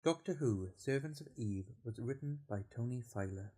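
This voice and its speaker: A man's voice: medium pitch, animated, normal speed, warm tone. A man reading scripted credits as an announcer.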